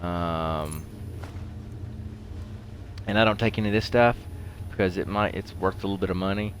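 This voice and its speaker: Deep-voiced man chatting over a game. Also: normal speed, slight background noise. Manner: rambling, talks to himself. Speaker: gaming video host. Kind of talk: casual game commentary while playing.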